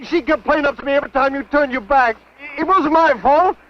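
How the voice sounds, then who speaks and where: A man's voice: very high voice, brisk. An actor playing a cowardly American man who stammers and whines as he begs an armed old friend for his life in a 1950s B-movie. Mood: pleading. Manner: pleading, stammering, whining, repetitive. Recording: dull 1950s film soundtrack with loud music.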